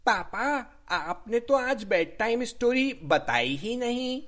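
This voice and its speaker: A woman's voice: fairly high pitch, sing-song, slow pace, fairly bright tone, heavily acted. A woman voicing a young, pleading child in a Hindi cartoon bedtime story. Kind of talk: Hindi animated cartoon dialogue.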